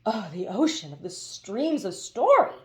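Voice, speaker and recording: A woman's voice: mid-range voice, very animated, a little slow, echoey room. A woman voicing a proud, fussy male water genie in a storybook, talking in wordy, coaxing strings of phrases. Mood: proud wonder. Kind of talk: storybook character voice.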